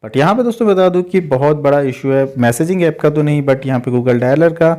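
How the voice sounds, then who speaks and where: Indian male tech host, slightly high pitch, soft mellow tone, conversational explainer delivery aimed at viewers. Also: fairly quick. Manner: conversational, addresses listeners as friends.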